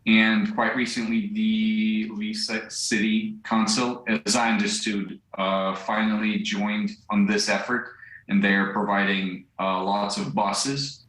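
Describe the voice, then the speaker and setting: A young man from central Ukraine with a Ukrainian accent, answering a live news interview in English in a halting way, searching for words. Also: fairly low pitch, little pitch movement, fairly bright tone. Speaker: volunteer driver interviewed live. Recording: echoey remote link.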